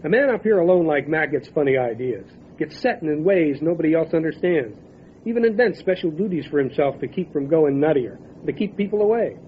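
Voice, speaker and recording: A world-weary male lawman, acted by a man talks in a wry, folksy, matter-of-fact way in a radio drama. The voice sits a little high, and the recording is thin and tinny with faint noisy ambience. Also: lively intonation, a little fast, lightly acted. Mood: musing, wry. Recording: tinny phone-like band, noisy outdoor ambience.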